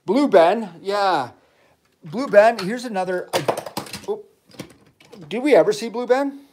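A man's voice: high-pitched, expressive, measured pace, noisy background. A middle-aged man, a dad, chatting casually about toy trains with his child on a livestream. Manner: enthusiastic, chatty, rambling toy talk. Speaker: toy channel host, dad.

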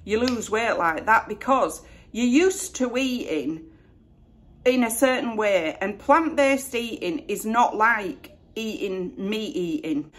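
A woman in her forties with a West Yorkshire accent, chatting to camera at home in a relaxed, self-deprecating way. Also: a little high, takes it slowly. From Northern England. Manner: chatty, self-deprecating, lots of "you know". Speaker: YouTuber. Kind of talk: casual Q&A chat to camera. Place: home room with flowers behind.

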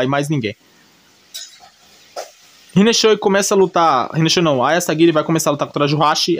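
Higher-voiced Brazilian man retelling a story casually. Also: fairly bright tone. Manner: colloquial, repetitive, frequent check-in tags. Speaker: video creator narrating an anime recap.

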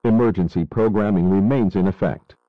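A man's voice: low voice, fairly quick, no room sound, narrow, tinny audio, lightly acted. A man playing a grave radio news anchor, giving scripted breaking coverage of a disaster in a serious, explanatory manner. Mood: grave concern.

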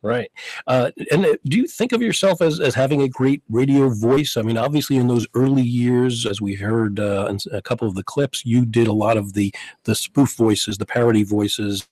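A man's voice: mid-range voice, moderate pace, a little mellow, no echo, poor audio. Middle-aged man, radio interviewer, conversational, dry studio mic. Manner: conversational, hesitant fillers. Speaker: guest host. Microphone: studio mic with headphones.

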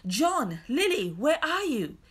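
A woman's voice: high-pitched, expressive, moderate pace, voice pushed up. A woman voicing an indignant, scolding boy in a children's storybook, urgent in manner. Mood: calling out.

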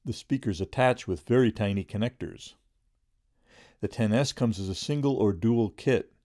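A middle-aged man reading a scripted product review in a measured, informative way. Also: on the low side, dark tone, dry recording, clean studio sound.

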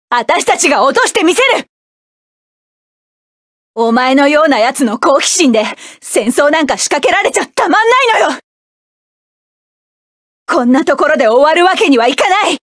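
A fierce young mech pilot, barking battle cries and curt orders in a high, bright voice. The sound is dry and close, with no room around it. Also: female voice, no room sound. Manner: forceful battle shouts and clipped commands. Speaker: voice actress. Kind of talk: game voice clips.

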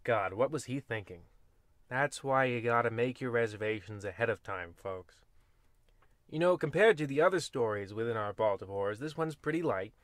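A man's voice: mid-range voice, animated, moderate pace, a bit bright, no echo, studio quality. A man narrating in the style of an old-time horror radio host, played for comedy, with rambling, folksy and wry asides. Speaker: host and storyteller of a radio anthology.

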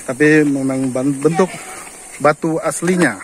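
A man vlogs casually as he walks and climbs through a cave, talking into a handheld phone. His voice sits a little high for a man. Faint ambient noise runs underneath. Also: steady tone, unhurried. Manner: chatty, commenting while climbing. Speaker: vlogger. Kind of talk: casual travel vlog narration. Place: outdoors in a cave and on stairs. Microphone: handheld phone camera. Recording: outdoor recording with background noise.

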